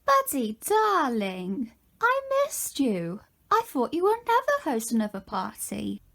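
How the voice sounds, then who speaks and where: Woman as coy rich widow, audio drama, high pitch, swooping intonation, forward and flirty. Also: huge pitch swings, takes it slowly, vocal fry, a bit bright, heavily acted. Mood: coy, flirtatious. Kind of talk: fan audio drama acting.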